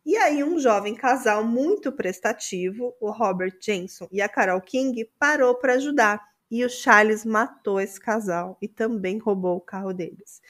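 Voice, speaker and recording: Female co-host, slightly high, easygoing chat. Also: measured pace, hardly any pauses, fairly bright tone. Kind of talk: casual chat.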